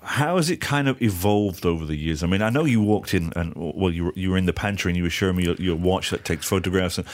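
Deep-voiced middle-aged interviewer. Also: male voice, fast talker, hardly any pauses. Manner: conversational, prompting with "right?". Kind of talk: interview questions.

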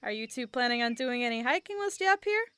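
A woman plays a local female forest officer in an audio drama. Her voice is high and crisp. She sounds folksy and friendly, yet patronizing and faintly ominous. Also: expressive, fast, fairly bright tone, no room sound. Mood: friendly, warning.

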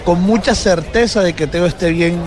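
A man's voice: high voice, normal speed, over music. A man with a Colombian accent giving an unscripted sports report on a radio panel.

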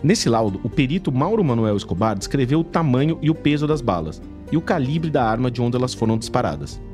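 A man reporting and narrating a true-crime podcast in a measured investigative way. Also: medium pitch, sing-song, darkish tone, soft background music.

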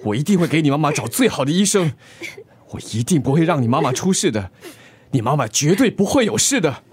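An anxious, emotional, guilt-ridden lover. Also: male voice, on the high side, very animated, fairly quick, slight background noise. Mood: panicked, urgent. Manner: earnest, emotional delivery. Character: anxious, guilt-ridden man pleading with the woman he loves. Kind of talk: Chinese radio drama acting.

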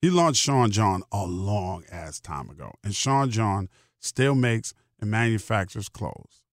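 A man's voice: lowish voice, expressive, unhurried, no echo. American man rambling casually, very dry sound. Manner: rambling, emphatic repetition, salty slang. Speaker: podcast host. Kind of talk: casual solo podcast monologue.

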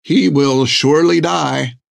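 A man's voice: a little high, steady tone, slow pace, creaky, dry recording, pitched above the performer's own voice, heavily acted. A man voicing a fussy, pompous male ship's doctor who speaks in a prim, indignant way. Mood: fretful.

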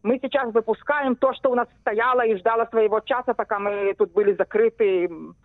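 A woman's voice: fairly high pitch, fairly even intonation, a little fast. Woman, interview guest, conversational answers, narrow phone-line sound, no room echo. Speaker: interview guest, poet and literary editor. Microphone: phone call. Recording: narrow tinny telephone line.

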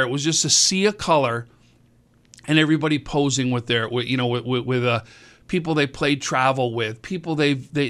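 Man, booming sports-radio regular, eager and rambling, bright forceful tone. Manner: excited, rambling, repeats words. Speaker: high school sports commentator and event organizer. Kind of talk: casual radio sports talk.

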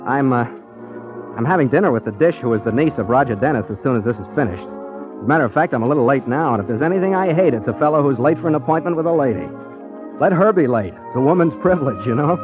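An actor playing an affable, easygoing private detective in 1940s radio style, chatty and a little hesitant around women. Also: male voice, medium pitch, lively intonation, quick pace, close to an everyday voice. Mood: breezy. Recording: tinny 1940s radio recording, music.

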